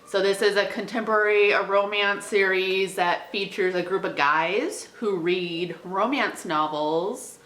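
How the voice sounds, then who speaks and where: Bright-voiced young woman in an echoey room. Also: mid-range voice, a little slow, hardly any pauses. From small town. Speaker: book vlogger. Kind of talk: casual chatty book recommendations.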